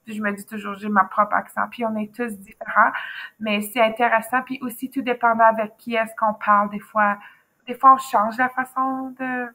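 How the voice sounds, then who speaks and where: A woman teacher with a softened Québécois accent, chatting casually as an interview guest, full of fillers and restarts. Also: on the high side, fairly even intonation, normal speed. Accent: Québécois French, softened. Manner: chatty, many fillers and restarts, trailing off into asides. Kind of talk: casual chat.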